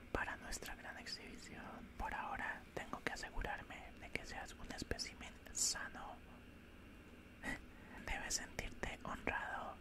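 A cold alien scientist, played by a man, whispers very slowly into a close microphone. The delivery is breathy and clinical, with a condescending, faintly menacing calm suited to an ASMR roleplay. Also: close to an everyday voice. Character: cold, condescending male alien scientist examining a human specimen. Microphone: close-up studio microphone.

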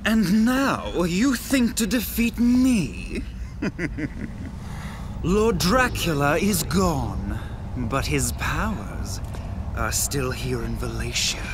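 A man's voice: fairly high pitch, expressive, drawn-out words, background noise. An actor playing a sadistic, gloating male villain in a fantasy game, mocking and theatrical, drawing out his words. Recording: music behind the voice.

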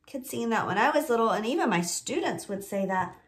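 A woman's voice: a little high, animated, brisk. A woman in her forties hosting a children's story time like a teacher, reading aloud, explaining simply and asking questions. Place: small indoor room with bookshelves.

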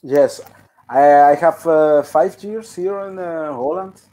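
A man in his twenties from Guatemala, a native Spanish speaker, answering interview questions over a video call in halting English full of fillers. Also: a little high, mellow. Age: 20s. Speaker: guest DJ. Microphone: headset on a video call.

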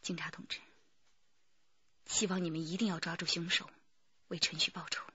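A breathy, guarded businesswoman. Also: fairly low pitch, flat delivery, normal speed, sharp tone, no room sound, dull audio, heavily acted. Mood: solemn. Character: poised, guarded businesswoman, company manager. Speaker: actress in a radio drama. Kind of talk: radio drama acting.

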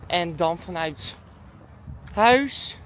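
A woman's voice: fairly low pitch, animated, very slow. Chatty woman vlogging over noisy background. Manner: chatty, informal, thinking aloud. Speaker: daily vlogger and dancer. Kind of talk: casual vlog chat.

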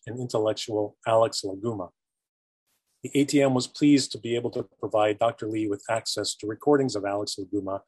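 A man's voice: on the low side, breathy edge, dry recording, poor audio. A man in his fifties reading a formal prepared introduction of a speaker over a video call. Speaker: archive director introducing a speaker. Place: indoor office with bookshelves. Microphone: video call, computer microphone.

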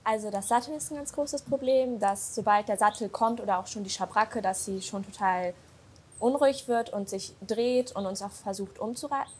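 A woman's voice: mid-range voice, normal speed, slight background noise. A woman explaining a problem in casual conversation, as a client would. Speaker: horse owner, client.

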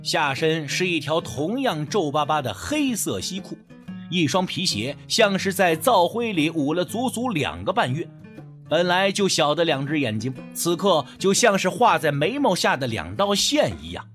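A man's voice: on the high side, very animated, normal speed, fairly bright tone, faint music behind. A man narrating a first-person ghost story as a dramatic, scripted audiobook.

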